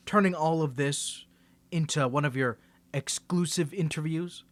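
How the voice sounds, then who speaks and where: A man's voice: on the high side, measured pace, no room sound, lightly acted. A man playing a confident, teasing young superhero in a scripted audio roleplay, bantering playfully and stammering when he gets flustered.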